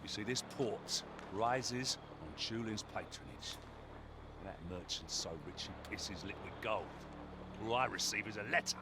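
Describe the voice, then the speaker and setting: An actor voicing a bitter, foul-mouthed pirate port boss in a video game, gruff, insulting and theatrical in manner. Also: male voice, on the low side, a little slow, noisy background, lightly acted. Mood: bitter outrage. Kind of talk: video game character acting.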